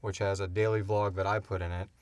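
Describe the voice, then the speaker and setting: Low-voiced man chatting casually, close and dry. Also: flat delivery, fast talker, darkish tone, dry recording. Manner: informal, swears freely. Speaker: podcast host and producer. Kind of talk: casual unscripted Q and A chat.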